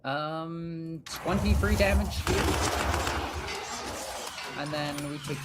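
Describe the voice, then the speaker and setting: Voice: medium pitch, slow, very noisy. A young adult gaming streamer chatting casually and rambling while playing a strategy game, swearing now and then.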